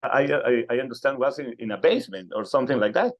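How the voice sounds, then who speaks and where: A male radio interviewer with a mid-pitched voice and a Peruvian Spanish accent. He is a native Spanish speaker who talks conversationally and hesitantly, searching for his words. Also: expressive, fast. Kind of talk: conversational interview in a second language.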